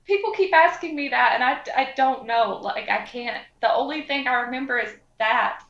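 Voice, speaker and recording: A woman's voice: sits high, moderate pace, a bit bright. A woman playing in a tabletop game, improvising role-play in a casual voice. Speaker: podcast host, player in a tabletop game. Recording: roomy, dull lo-fi mic, faint music.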